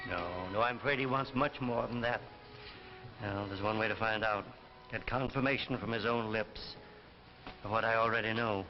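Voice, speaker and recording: Actor playing a grave, weary old scientist, muffled recording. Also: male voice, on the low side, steady tone, close to an everyday voice. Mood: wary, reluctant. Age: older. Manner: measured, formal, grave. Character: weary, principled old scientist who sees futures. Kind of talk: 1950s television drama acting. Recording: muffled vintage TV broadcast, music behind.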